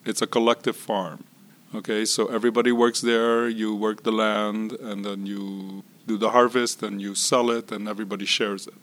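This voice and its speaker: A man in his sixties from Israel and Curaçao, telling his life story in an interview at an unhurried pace that trails off softly at phrase ends. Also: fairly low pitch, flat delivery. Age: 60s. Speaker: interview guest. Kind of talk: relaxed personal storytelling.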